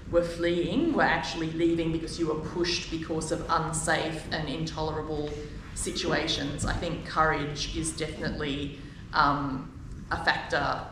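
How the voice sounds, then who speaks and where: Low, creaky woman moderator in echoey room. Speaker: moderator at a book launch. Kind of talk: thoughtful live panel questioning. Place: live event in an echoey room. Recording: echoey room, distant mic, noisy background.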